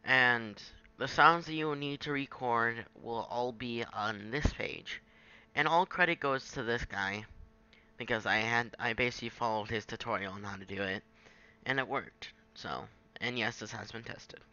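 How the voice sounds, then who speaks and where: A man giving an informal, halting software tutorial, thinking aloud as he goes. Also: medium pitch, smooth, lo-fi sound. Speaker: tutorial presenter. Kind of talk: casual screen-capture software tutorial.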